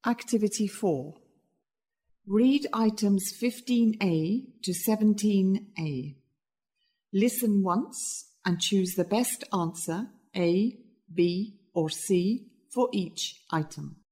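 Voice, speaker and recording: A woman reading listening-test instructions in a measured, deliberate way. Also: mid-range voice, mellow, husky. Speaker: test announcer.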